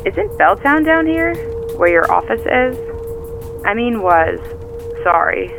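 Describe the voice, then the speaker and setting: A woman on a stakeout in a naturalistic audio drama, her pitch moving freely. She gives a chatty, slightly flustered running report as she drives. Music and car sounds sit behind her voice. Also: sing-song, slow pace. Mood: focused, tense. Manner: conversational, hesitant play-by-play reporting.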